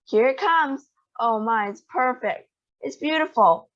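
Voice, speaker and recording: A woman's voice: high voice, animated, a little slow, a bit bright, voice pushed up. A woman voicing a spoiled, bossy girl in a read-aloud storybook, talking in a breathless, self-absorbed way. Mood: rapturous. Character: spoiled gum-chewing girl, bossy and rude. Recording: dull lo-fi recording.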